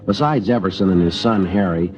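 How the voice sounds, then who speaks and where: An actor playing a calm, terse police detective who narrates his case in a clipped, deadpan radio drama style. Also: low voice, a little fast, close to an everyday voice. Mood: matter-of-fact. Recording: dull old radio recording, music underneath.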